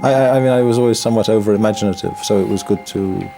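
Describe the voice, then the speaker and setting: A man from the north of England, a Buddhist monk, speaking in a documentary interview in a reflective, halting way, often restarting his words and adding wry humor. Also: lowish voice, creaky. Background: background music.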